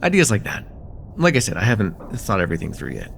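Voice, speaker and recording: A man's voice: fairly low pitch, wildly swooping pitch, fast talker, gravelly, slight background noise, heavily acted. A man playing a friendly, teasing college-age guy in a casual, hesitant slice-of-life ASMR roleplay with a close friend. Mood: thoughtful.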